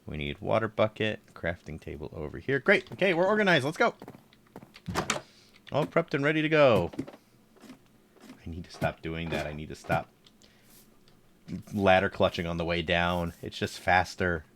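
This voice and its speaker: A man with a low, slightly congested voice chatting casually while playing a game on stream and thinking aloud. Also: creaky, a bit bright, a little hiss or hum. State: congested, throat tickle. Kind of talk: casual live gameplay commentary.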